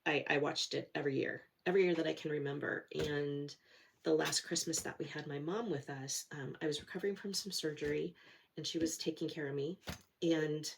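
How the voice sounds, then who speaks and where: Low, gravelly woman, nasal from a cold. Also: fairly even intonation, fairly quick, slight background noise. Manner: chatty and rambling, self-deprecating, many fillers. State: nasal from a cold, sore throat. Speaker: cross-stitch vlogger. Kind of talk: casual chat to camera.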